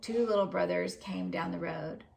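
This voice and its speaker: A woman reading a children's story aloud in an expressive narrating style. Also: lowish voice, normal speed, creaky. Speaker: storybook reader.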